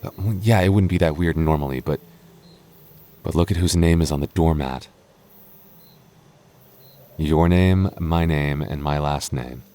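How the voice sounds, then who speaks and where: A man playing a deep-voiced, teasing goth boyfriend. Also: measured pace, pauses often. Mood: affectionate. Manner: soft, intimate, playfully teasing. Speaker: voice actor. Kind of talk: boyfriend ASMR roleplay.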